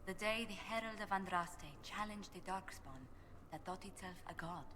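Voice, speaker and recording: A woman's voice: medium pitch, normal speed, pushed and bright, slight background noise, lightly acted. An actress playing a gracious, earnest lady diplomat in a fantasy game, with polite, courtly speech. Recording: game audio with clear background ambience.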